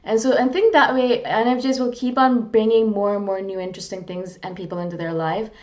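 A woman's voice: mid-range voice, steady tone, brisk, darkish tone, muffled recording. Earnest, self-deprecating woman from India. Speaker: vlogger on personality types. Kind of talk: casual reflective monologue to camera.

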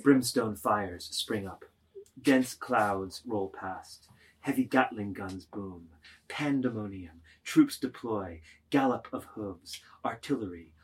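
A man narrating stage directions as the narrator of a dramatised literary reading, with a slightly husky voice. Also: on the low side, slow pace, low-quality recording.

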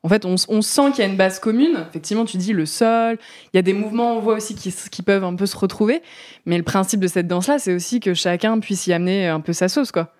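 A woman's voice: mid-range voice, fast, darkish tone. A woman interviewer chatting informally, asking questions and rewording them as she goes along. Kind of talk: casual conversational interview.

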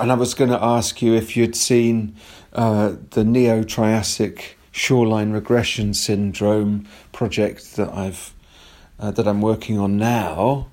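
A man's voice: on the low side, a little mellow. A man leaving a casual, rambling voice message, hesitant and often repeating himself as he goes. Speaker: caller leaving a voice message to a podcast.

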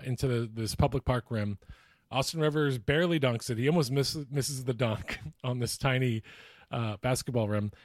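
A man's voice: mid-range voice, no room sound. A man co-hosting a comedic sports podcast in casual chat, with a mocking, profane streak. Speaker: podcast host.

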